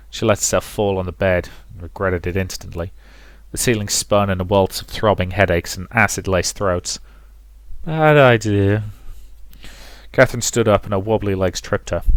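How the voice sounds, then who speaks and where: A man's voice: low voice, no room sound. A man hosting a let's play, reading a visual novel as dramatic, rhythmic narration with some commentary. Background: music.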